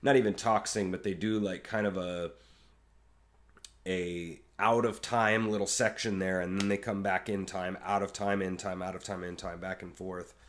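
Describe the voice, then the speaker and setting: A man with a fairly low voice talks casually about music, rambling and circling back with plenty of "uh" and "um." He sounds like a friendly reaction-video host thinking aloud. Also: little pitch movement, moderate pace. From Kansas City area. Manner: rambling, many fillers and restarts.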